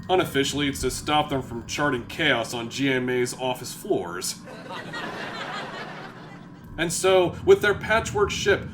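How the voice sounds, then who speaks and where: A man's voice: high voice, fairly bright tone, slight background noise. A man reading scripted comic narration for a story in a theatrical storytelling manner.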